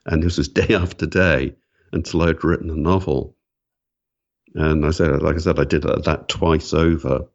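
A man's voice: extremely low pitch, steady tone, normal speed. A man, a guest author answering interview questions thoughtfully, often restarting his sentences. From Wales. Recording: muffled lo-fi audio.

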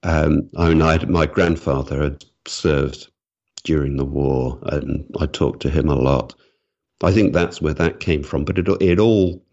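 A man's voice: rumbling low, a little mellow. A man, a guest author answering interview questions thoughtfully, often restarting his sentences. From Wales. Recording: muffled lo-fi audio.

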